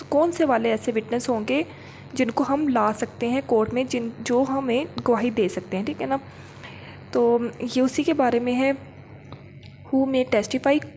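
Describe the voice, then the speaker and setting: A woman's voice: fairly high pitch, a little mellow, a little hiss or hum. A woman giving an informal law lesson, explaining step by step, repeating points with frequent check-in tags and mixing in English legal terms. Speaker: law lecturer.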